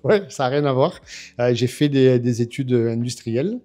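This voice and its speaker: Give me a man in his fifties with a warm, relaxed tone, hesitating a lot as he tells his story. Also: mid-range voice, moderate pace, faint music behind. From France. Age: 50s. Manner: hesitant, many fillers and restarts. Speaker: guest. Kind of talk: casual interview storytelling.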